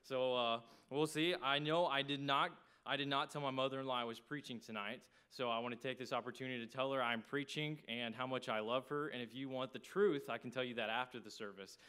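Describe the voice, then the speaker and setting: Clear-voiced Texan man preaching. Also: mid-range voice, fairly even intonation, fairly quick, few pauses, bright, forceful tone. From small town in Texas, United States. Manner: folksy, conversational. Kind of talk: evening church sermon.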